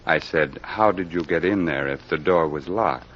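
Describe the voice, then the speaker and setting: An actor playing a brisk, sardonic homicide captain questioning suspects in an old-time radio mystery, with authority and hesitant pauses. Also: male voice, very deep voice. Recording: dull, band-limited old radio recording.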